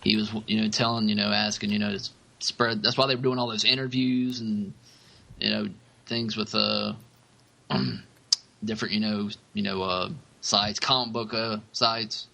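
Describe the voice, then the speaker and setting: A man's voice: lowish voice, fairly even intonation, normal speed, vocal fry, bright, forceful tone, poor audio. A man rambling casually as a podcast co-host, often falling back on "you know".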